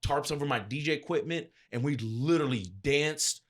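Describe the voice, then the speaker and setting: A man's voice: on the high side, normal speed. A man speaking as an interview guest and event DJ, telling stories in a casual, emphatic, slangy way. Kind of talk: casual anecdotal storytelling.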